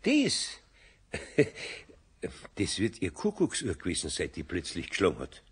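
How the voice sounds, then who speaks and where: An actor playing a good-natured, grumbly older master carpenter with a Bavarian accent in a children's radio play, hesitant and given to chuckling. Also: male voice, sits low, wildly swooping pitch, a little slow, full character voice. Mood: sheepish, evasive. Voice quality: husky.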